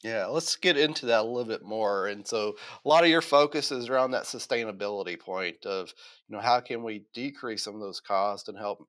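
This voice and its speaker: A man's voice: lowish voice, fairly bright tone. A man hosting a podcast interview, casually affirming his guest and adding his own longer explanations. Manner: affirming, drawn-out explanatory asides. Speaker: podcast host.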